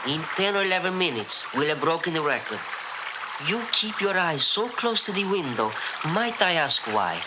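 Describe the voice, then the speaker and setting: A young man playing an earnest, coolly obedient deep-sea scientist in a 1960s radio drama, clipped and matter-of-fact. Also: very animated, normal speed. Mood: earnest, controlled. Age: young adult. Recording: noisy old radio recording.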